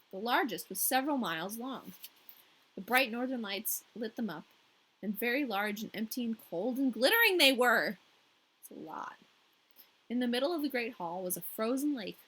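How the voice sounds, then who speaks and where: Lively, high-voiced woman reading a fairy tale. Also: slightly rough, poor audio. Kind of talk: storybook reading with casual asides.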